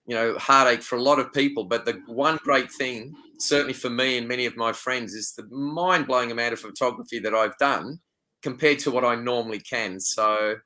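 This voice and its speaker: A man's voice: medium pitch, moderate pace, sharp tone, low-quality recording. A middle-aged Australian man, a wildlife photographer, talking over a video call in an upbeat, chatty way. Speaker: wildlife photographer and guest expert. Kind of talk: casual interview talk.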